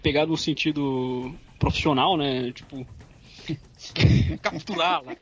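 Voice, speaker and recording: A man's voice: a little high, slow, husky. A man with a Brazilian Portuguese accent, chatting casually on a podcast and retelling a story with lots of fillers. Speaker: podcast co-host. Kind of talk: casual chat recapping episodes. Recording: muffled recording with faint music.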